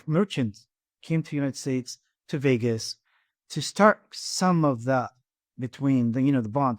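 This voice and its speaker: A middle-aged man with an Iraqi Arabic accent, a guest on a podcast interview, talking haltingly with many restarts and repeated words. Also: medium pitch, darkish tone, no room sound, clean studio sound. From Iraq. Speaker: guest, engineering firm president.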